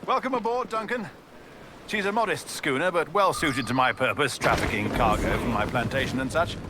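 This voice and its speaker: A man playing a timid, effusive gentleman merchant in a video game, speaking with polite, old-fashioned phrasing. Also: on the high side, normal speed, background noise, close to an everyday voice. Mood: hospitable. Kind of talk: dramatic video game acting.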